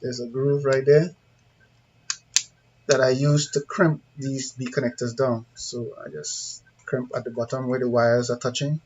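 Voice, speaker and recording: A man's voice: medium pitch, little pitch movement, unhurried, a little mellow. A man with a Trinidadian accent giving an informal hands-on tutorial, talking through each step in a plain-spoken, conversational way and checking in with viewers. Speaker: CCTV installer giving a tutorial. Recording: dull lo-fi sound with background noise.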